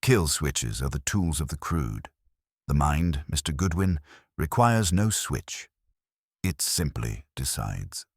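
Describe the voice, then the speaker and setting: A man's voice: very deep voice, sing-song, slow pace, slightly rough, no room sound, studio quality, heavily acted. A man voicing a heavyset, imperious genius detective in an audiobook, with formal, pompous, precise phrasing. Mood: aloof.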